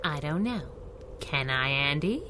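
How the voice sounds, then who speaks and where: A woman's voice: deep voice, expressive, a little slow, background noise. A woman playing a brash, teasing, wisecracking masked heroine in a 1930s-style radio serial. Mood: teasing, cool.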